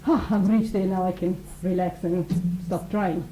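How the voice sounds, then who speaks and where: A woman's voice: fairly low pitch, steady tone, normal speed, mellow, echoey room, slight background noise, low-quality recording. A woman who teaches mindfulness and talks earnestly on a radio show, explaining ideas through everyday examples and acted-out quotes. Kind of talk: conversational radio talk.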